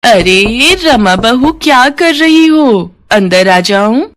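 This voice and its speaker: A woman's voice: a little high, animated, slow pace, a bit bright. A woman voicing a meddling, quarrelsome aunt who gossips and needles in an insinuating way in a family story. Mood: falsely sweet.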